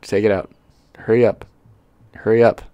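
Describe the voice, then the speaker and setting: Young man, lowish pitch, dry close sound, casual reaction commentary, jokey, sweary, unscripted. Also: flat delivery, normal speed, mellow, smooth, no echo, muffled recording. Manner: joking, sweary, easily amused. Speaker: unboxing video commentator.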